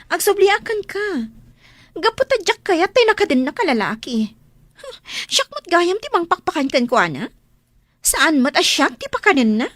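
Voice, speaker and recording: An actress playing a pleading, jealous, sharp-tongued wife and mother in an Ilocano radio drama, with an Ilocano accent and a melodramatic delivery. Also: extremely high pitch, very animated, moderate pace, no room sound, full character voice. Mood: indignant.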